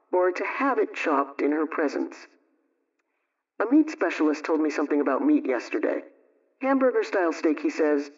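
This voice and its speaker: Higher-voiced man on dull old radio. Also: recorded from a distance. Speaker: household advice program host. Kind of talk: scripted old-time radio homemaking chat.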